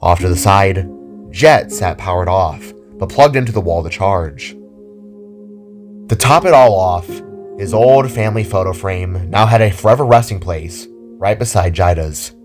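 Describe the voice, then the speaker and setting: A man's voice: deep voice, measured pace. A man giving scripted narration as the storyteller of an audio drama. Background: background music.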